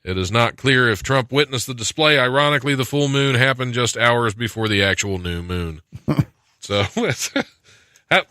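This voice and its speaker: A man's voice: mid-range voice, unhurried, sharp tone, clear, resonant tone, dry recording. An American man hosting a casual comedy podcast in a studio, joking and sarcastic as he chats. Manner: joking, sarcastic, often chuckling. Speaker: podcast host. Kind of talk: casual comedic chat about news.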